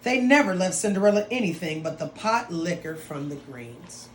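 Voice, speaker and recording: A woman teacher reading a story aloud to students in an expressive, dramatic way, with drawn-out phrases and playful asides. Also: fairly low pitch. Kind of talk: expressive read-aloud of a picture book for students. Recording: roomy echo with some background noise.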